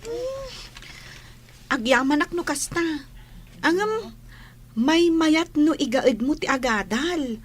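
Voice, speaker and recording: Very high, slow young classmate played by a woman. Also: lively intonation. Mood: doubtful, needy. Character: young student classmate, doubtful and needy. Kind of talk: Ilocano comedy radio drama acting.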